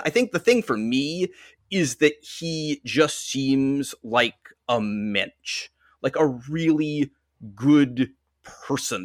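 A man's voice: medium pitch, no echo, clean studio sound. A man from Western Massachusetts with an American accent, talking sports casually as a podcast guest. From Western Massachusetts, United States. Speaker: podcast guest, soccer commentator.

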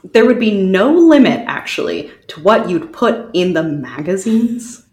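A woman's voice: medium pitch, sing-song, measured pace, a little mellow. A woman playing an insistent, formal chief counsel questioning a witness in an amateur dramatic reading, recorded with a roomy echo. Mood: pressing. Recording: roomy, echoing sound.

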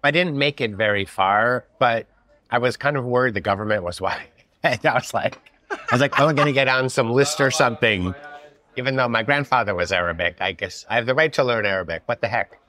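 A man's voice: medium pitch, brisk, bright, forceful tone. A man hosting a food podcast who asks curious questions and laughs easily during casual talk. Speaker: podcast host. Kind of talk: casual interview. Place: hummus restaurant.

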